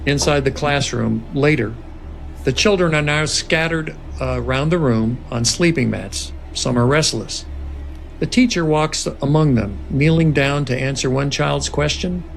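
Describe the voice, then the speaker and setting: Mid-pitched narrator reading screenplay directions. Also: measured pace, vocal fry, slight background noise, low-quality recording. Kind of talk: scripted screenplay narration at a table read.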